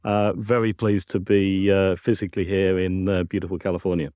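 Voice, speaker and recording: A middle-aged or older man with a British accent, answering interview questions in a measured, explanatory way with some hesitant repetition. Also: sits low, little pitch movement, mellow, no echo. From United Kingdom. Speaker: technical director, interview guest.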